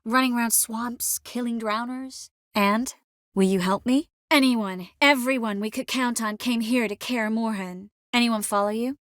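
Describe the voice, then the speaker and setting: An actress playing a determined, warm young sorceress in a fantasy video game, speaking earnestly and expressively with a gently teasing manner. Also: female voice, a little high, measured pace, no echo, clean studio sound. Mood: concerned, questioning. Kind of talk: video game dialogue acting.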